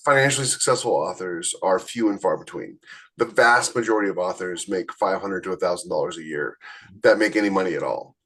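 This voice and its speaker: A man with a fairly low-pitched voice talks as a podcast host. He explains things in a conversational, unscripted way and often turns straight to the listener. The delivery is that of casual talk drawn from his own experience. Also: a little fast, fairly bright tone, poor audio. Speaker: podcast host, self-published author.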